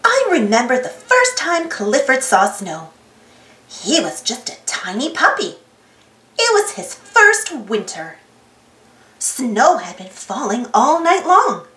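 A woman acts out a young storybook girl, devoted to her puppy and cheerful. The voice is high and swings widely in pitch, with careful, expressive delivery in a roomy echo. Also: distant mic, exaggerated voice. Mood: cheerful, fond. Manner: expressive, deliberate, exclaiming. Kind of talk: children's storybook read-aloud in first person.

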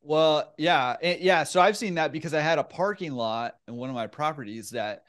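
A man's voice: on the high side, normal speed, fairly bright tone, clean tone. Easygoing male podcast host. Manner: easygoing, laughs along, short affirmations. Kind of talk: casual interview chat. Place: room with bookshelf. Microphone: headset, video call.

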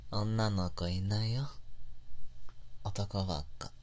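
A man playing a tipsy, affectionate older boyfriend in an intimate ASMR audio drama, sweet and clingy in soft, lingering murmurs. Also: on the low side, steady tone, slow pace. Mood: sleepy, tender. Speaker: online voice actor.

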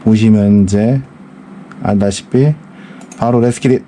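A man's voice: lowish voice, fairly even intonation, measured pace, dark tone, slight background noise. A man in his forties chatting casually while packing parcels for his small online shop, musing aloud and sighing now and then. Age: 40s. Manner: rambling, musing aloud, lots of sighing asides. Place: indoor work space packing parcels.

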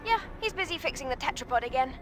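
A woman playing an excitable, earnest young engineer in a video game, with an emotive, girlish delivery. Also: high voice, fast, music in the background. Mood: casual.

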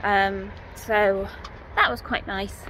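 A woman's voice: medium pitch, fairly even intonation, slow, bright, forceful tone, slight background noise, poor audio. A woman, chatting casually through a walking vlog, rambling with lots of "so" and "um", filmed on a handheld phone. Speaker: vlogger. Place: outdoors on town streets and indoors at home. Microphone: phone held at arm's length.

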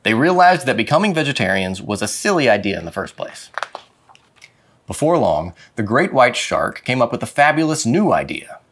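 A man reading a children's picture book aloud with dramatic, expressive phrasing. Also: medium pitch, moderate pace, breathy edge. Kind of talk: expressive storybook read-aloud. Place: indoor studio with plain dark backdrop.

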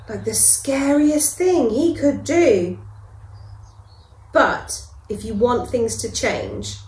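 A middle-aged woman, a reception class teacher, reading a picture book aloud to children with gentle, expressive delivery and dramatic pauses. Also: on the high side. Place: school classroom, indoors. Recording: echoey classroom.

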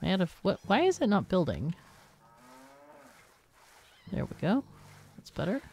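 A woman's voice: mid-range voice, wildly swooping pitch, a little slow, warm tone, slight background noise. A woman gives casual, unscripted game commentary, thinking aloud and asking herself questions as she plays. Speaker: gaming video host.

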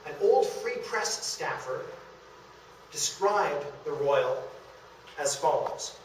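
A man's voice: on the high side, expressive, takes it slowly. A man giving an illustrated history lecture as the speaker at a live talk. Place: meeting room in a historic house, before an audience. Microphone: distant camera in the audience. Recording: very echoey room, far off, faint noise.

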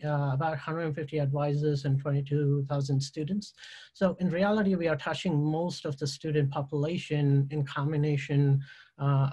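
A man talks through slides on a video call in a slightly high voice. He stops often on fillers and restarts his phrases as he goes. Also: a little mellow. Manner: halting, many "uh" fillers and restarts. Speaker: university tech presenter. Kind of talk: conference slide presentation.

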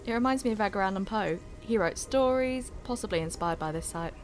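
A woman's voice: mid-range voice, lively intonation, a little fast, soft background music, natural voice. A woman playing an eager, bookish young graduate student in an audio drama. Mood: earnest.

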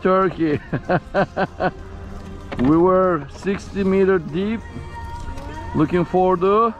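A middle-aged man hosting a fishing vlog from a small boat at sea, cheering loudly over each fish he catches. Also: high voice, very slow, lo-fi sound. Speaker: fishing vlogger host. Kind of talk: casual fishing vlog commentary. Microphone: action camera on the boat. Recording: outdoor wind with music bed.

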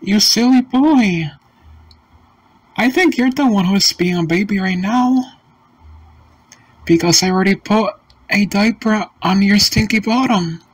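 A sassy young boy gamer talks back in a slow, stiff, mocking cartoon delivery, voiced by a synthetic text-to-speech voice. The pitch sits in the middle, and the pace is very slow and deliberate. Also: slightly rough, no room sound. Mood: defiant.

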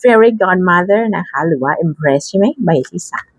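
A woman talks casually through an unboxing. Her voice is fairly low and husky, and she takes her time, thinking aloud with little exclamations and English terms mixed in. The sound is dry. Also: expressive, unhurried, no echo. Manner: mixes English card names into her talk. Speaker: tarot deck reviewer.

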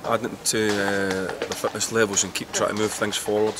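A middle-aged man, a football manager giving a post-match interview outdoors, halting and repeating words as he thinks. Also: fairly low pitch, flat delivery. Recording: loud outdoor background noise.

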